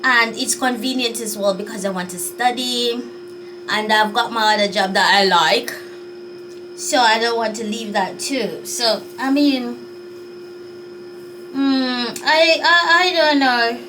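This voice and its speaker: A woman's voice: a little high, slow pace, recorded from a distance, noisy background. A Jamaican woman vlogging in a chatty, unsure way, sighing as she thinks things over. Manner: chatty, musing and unsure, with sighs. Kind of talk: casual talk to camera.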